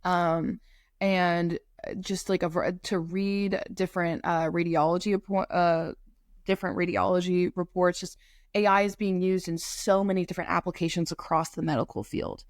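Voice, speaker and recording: Young woman, halting, low and dry. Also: little pitch movement, no room sound. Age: young adult. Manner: halting, many fillers and restarts. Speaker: podcast co-host. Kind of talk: casual conversational discussion.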